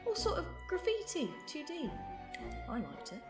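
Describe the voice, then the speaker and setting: A woman playing a quick-witted, bold alien time traveller in an audio drama, wry, brisk and flippant. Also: very high voice, huge pitch swings, full character voice. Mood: guarded. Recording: roomy echo, loud music behind.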